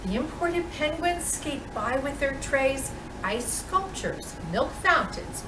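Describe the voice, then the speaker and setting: A woman reading a children's picture book aloud in an expressive, deliberate storytelling manner with dramatic pauses. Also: sits high, over music. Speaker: storytime reader playing Mrs. Claus. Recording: roomy, distant microphone with clear background noise.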